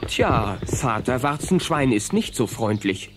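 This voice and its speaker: A man's voice: medium pitch, lively intonation, a little slow, noisy background, natural voice. A man narrating a children's radio play in scripted storytelling style.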